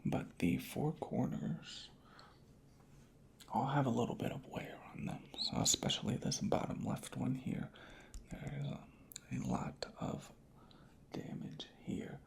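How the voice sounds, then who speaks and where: Slow, creaky ASMR whisper. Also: medium pitch, steady tone. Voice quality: breathy whisper with creaky fry. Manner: hushed, deliberate, unhurried. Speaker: ASMR creator playing a record inspector. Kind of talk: ASMR, soft-spoken and whispered roleplay.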